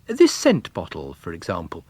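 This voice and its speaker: A man's voice: sits low, wildly swooping pitch, moderate pace, darkish tone, husky, no room sound, exaggerated voice. A man voicing a shrewd, courteous commercial traveller who explains things in a chatty, methodical way in a story reading. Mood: courteous, explaining.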